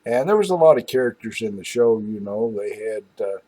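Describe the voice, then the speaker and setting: Husky older man, folksy and rambling. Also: lowish voice, expressive, mellow. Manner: folksy, halting, frequent asides. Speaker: host of an old-time radio show. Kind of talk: casual, rambling reminiscing commentary.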